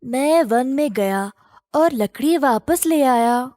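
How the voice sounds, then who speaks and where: A woman's voice: high voice, expressive, slow, mellow, no room sound, clean studio sound. A woman voicing a humble, hardworking male donkey in a children's moral story. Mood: plain.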